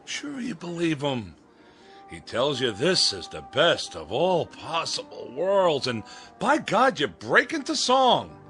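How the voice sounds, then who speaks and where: A man's voice: fairly high pitch, wildly swooping pitch, takes it slowly, fairly bright tone, soft background music, full character voice. A man acting in a radio drama as a bitter, defiant doubter, sarcastic and challenging. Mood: scornful, heated.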